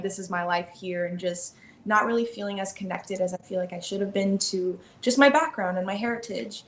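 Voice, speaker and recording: A young American woman chats freely and upbeat, scattering likes and ums as she talks about her work. Her mid-pitched voice sits in a roomy, echoey space. A faint ambient hum runs underneath. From Miami, Florida. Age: young adult. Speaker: animation filmmaker guest. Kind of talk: casual interview chat.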